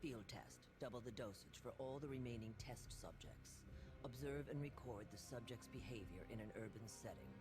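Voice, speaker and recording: An actor playing a cold official giving secret orders in a game, in clipped memo style. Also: male voice, mid-range voice, normal speed, music in the background, lightly acted. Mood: cold, terse. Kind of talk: clipped classified memo read-out.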